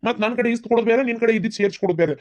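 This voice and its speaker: A man's voice: very high voice, rapid-fire, a little mellow, dull audio. A man voicing an exasperated grown village son in a cartoon, firing off reproachful questions with a North Karnataka accent. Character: exasperated grown village son caught between bickering women. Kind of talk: village comedy cartoon dialogue.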